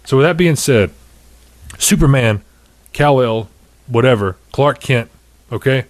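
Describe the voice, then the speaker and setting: A man's voice: mid-range voice, expressive, measured pace, dry recording. A man in his thirties hosting a casual podcast, chatting and ranting in a profane, opinionated way. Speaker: podcast host. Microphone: studio condenser microphone on table.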